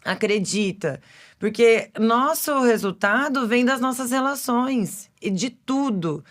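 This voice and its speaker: A Brazilian woman hosts a relaxed podcast conversation in a fairly high voice. She talks emphatically and keeps stacking fillers and restarts as she builds her point. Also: takes it slowly. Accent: Brazilian Portuguese. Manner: chatty, filler-heavy, emphatic. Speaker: podcast host. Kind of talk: casual interview chat.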